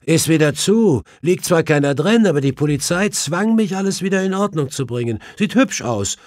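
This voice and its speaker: Male narrator as breezy male workman, blunt, pitched up high, matter-of-fact, audiobook mystery. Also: animated, moderate pace, no room sound, studio quality, lightly acted.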